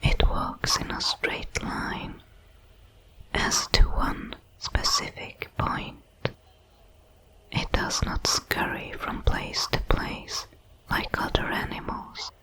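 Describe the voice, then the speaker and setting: A woman voicing a detached, curious alien creature in a sci-fi ASMR roleplay, whispering in slow, deliberate, clinical phrases. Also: pushed and bright, poor audio. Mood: curious, measured. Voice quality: breathy whisper.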